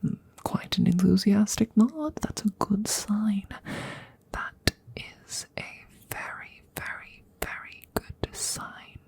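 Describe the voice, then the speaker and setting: Woman as a softly whispering, courteous dragon lady. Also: medium pitch, lively intonation, takes it slowly, full character voice. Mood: tender, intimate. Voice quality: soft, breathy whisper. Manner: hesitant, formal, old-fashioned phrasing with murmured repetitions. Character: courteous, smitten female dragon interviewing a would-be princess. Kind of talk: ASMR roleplay. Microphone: close microphone.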